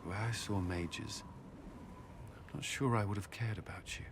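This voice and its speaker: A man playing an earnest former Templar commander haunted by guilt, speaking haltingly and formally in a dramatic video game. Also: lowish voice, background noise, natural voice. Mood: haunted, troubled.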